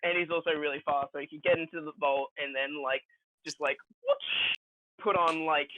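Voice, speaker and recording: A man co-hosting a casual comedy podcast, telling absurd jokes in a rambling, halting way. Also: a little high, narrow, tinny audio, background noise. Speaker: podcast co-host.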